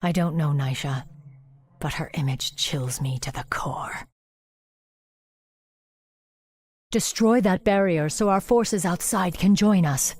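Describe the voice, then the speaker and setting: A determined female elf commander from a fantasy game. Her voice sits fairly low and is breathy and husky, and she speaks formally, with grave authority. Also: measured pace, a little mellow, no echo. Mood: stern. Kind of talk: video game fantasy acting.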